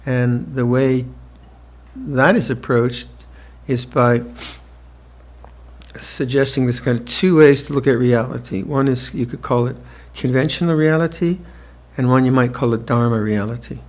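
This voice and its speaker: A monk in his seventies gives an evening dharma talk, speaking slowly and reflectively with gentle restarts and soft laughter. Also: medium pitch, steady tone. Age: 70s. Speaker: monk teacher. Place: retreat hall with listeners.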